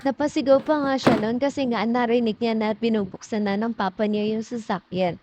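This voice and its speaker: A woman's voice: fairly high pitch, steady tone, a little fast, background noise. A young Filipino woman with a chatty, informal manner, narrating a casual vlog as a voice-over. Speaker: mom vlogger.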